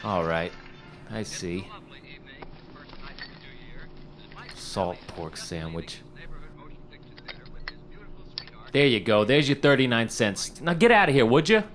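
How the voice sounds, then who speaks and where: An actor playing an eager, fast-talking struggling screenwriter at a hotel desk in a period radio drama, wisecracking and pleading, stammering when flustered. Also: male voice, fairly low pitch, expressive, fairly bright tone, a little hiss or hum. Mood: brusque, scheming.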